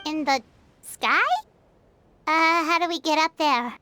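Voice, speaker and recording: A woman voicing a tiny, excitable, worrying fairy companion in a video game, with a squeaky childlike voice and expressive delivery. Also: slow pace, a little hiss or hum, exaggerated voice. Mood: doubtful. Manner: expressive, speaks of herself in third person. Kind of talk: video game character acting.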